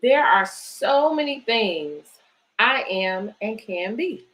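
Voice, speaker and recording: A woman's voice: fairly high pitch, expressive, takes it slowly, fairly bright tone, rough recording. A woman hosting a children's storytime, reading picture books aloud and chatting encouragingly to families. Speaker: host of a children's bedtime story series, book organisation director.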